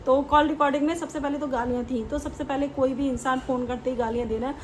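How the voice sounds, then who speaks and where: A woman with a high-pitched voice gives casual opinion commentary as a reaction host. She explains things conversationally, slipping English words into her talk. A faint noise sits behind her voice. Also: fairly even intonation, quick pace.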